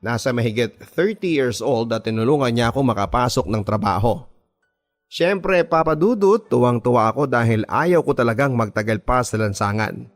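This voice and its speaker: A man's voice: medium pitch, animated, moderate pace. A man with a Filipino accent narrates a scripted first-person story in a dramatic, confiding way, like a radio drama narrator. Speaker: radio drama narrator reading a listener's letter. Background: music bed.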